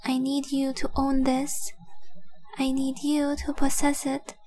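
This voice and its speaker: A high, slow voice of a woman playing a young dragon girl in a romantic audio roleplay. She is devoted and tenderly possessive, speaking with intimate, earnest care and keeping her melody very even. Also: faint music behind. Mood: solemn, confessional. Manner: earnest, intimate, deliberate, with breathy whispered phrases.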